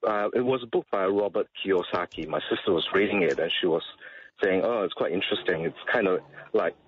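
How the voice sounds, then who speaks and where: A middle-aged man with a husky voice, talking casually and hesitantly as a guest on talk radio. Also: deep voice, a little fast, no room sound. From Malaysia. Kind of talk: casual chat. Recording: dull, slightly muffled radio audio.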